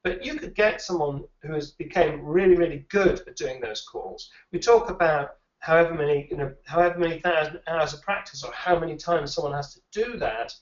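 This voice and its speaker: A man's voice: a little high, fairly quick, hardly any pauses. A man who trains recruiters answers interview questions in a thoughtful way, often repeating words as he thinks. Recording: dull, roomy, a little distant.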